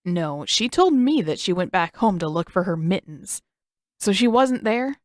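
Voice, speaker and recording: A woman's voice: medium pitch, very animated, a little slow, no echo, studio quality. A woman voicing an intense, suspicious teenage boy in an audiobook, emphatic and insistent. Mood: intense, urgent. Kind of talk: acted audiobook dialogue.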